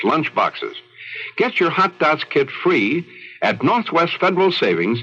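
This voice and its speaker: A man's voice: medium pitch, sharp tone, breathy edge. A man reading a scripted radio safety advertisement as a commercial announcer. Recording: muffled old radio broadcast.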